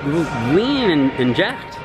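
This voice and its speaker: A man at the gym, excited and teasing as he jokes with a friend, heard from a distance. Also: a little high. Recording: music playing underneath.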